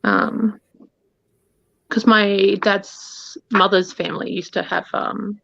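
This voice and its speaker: A woman's voice: mid-range voice, steady tone, takes it slowly, creaky, a bit bright, dry recording. Rambling woman in her thirties, lo-fi. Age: 30s. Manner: rambling, forgetful, chatty. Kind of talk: casual chat. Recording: dry, dull lo-fi sound.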